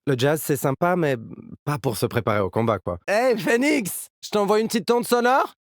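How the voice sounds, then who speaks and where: Young video game fighter, upbeat and cocky, lightly high-pitched, swooping intonation, playful slangy delivery. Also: male voice, expressive, normal speed, no room sound, studio quality. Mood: playful, confident. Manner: casual, playful, slangy teammate banter. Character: upbeat, cocky young male party-loving musician fighter. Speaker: video game agent character. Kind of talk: game character voice lines.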